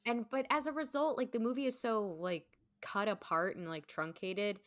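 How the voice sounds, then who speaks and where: A woman's voice: fairly high pitch, steady tone, normal speed, a bit bright, no room sound, studio quality. A woman chatting casually as a guest, very informal and conversational. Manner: informal, conversational, heavy use of "like". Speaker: guest, stage performer. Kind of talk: casual chat.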